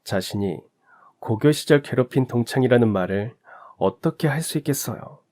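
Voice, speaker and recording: A man narrating a first-person family story in a calm, even storytelling manner. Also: mid-range voice, moderate pace.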